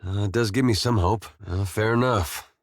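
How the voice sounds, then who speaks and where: An actor with an American accent playing a gentle, weary farmer turned soldier in a video game, speaking with a folksy, plainspoken drawl. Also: male voice, on the low side, normal speed, dry recording, studio quality, lightly acted. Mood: warm, sincere. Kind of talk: video game character dialogue.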